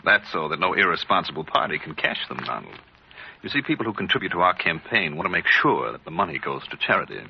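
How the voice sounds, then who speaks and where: A crooked American boss from a 1940s radio crime drama, smug and condescending. His low voice moves with lively emphasis and brisk self-assurance. The recording is dull and muffled, like vintage radio. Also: gravelly, a little hiss or hum. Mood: brisk, assured. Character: smug, crooked male boss of a charity racket.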